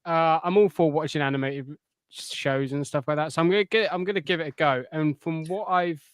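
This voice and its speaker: A man's voice: a little high, fairly quick, clear, resonant tone, no room sound, studio quality. A man talking casually as a podcast co-host. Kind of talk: casual pop culture podcast chat.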